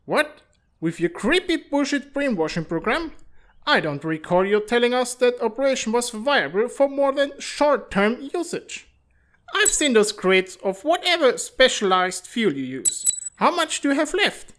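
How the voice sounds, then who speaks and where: Woman as a lively, sarcastic, cynical commander. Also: fairly high pitch, measured pace. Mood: challenging. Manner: sarcastic, blunt, skeptical. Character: brash, cynical, self-serving mercenary-like commander. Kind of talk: dramatic comic fandub acting.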